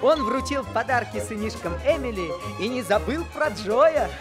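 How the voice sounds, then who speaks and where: A man's voice: extremely high pitch, expressive, normal speed, loud music behind the voice. A man narrating in the first person as a young man looking back on his life in an old Soviet radio play. He tells the story in a reflective, wistful way.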